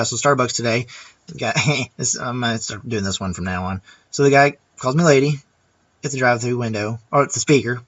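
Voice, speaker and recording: A man's voice: medium pitch, a bit bright, no room sound, dull audio. A man vlogger ranting casually to camera, chatty and rambling with restarts.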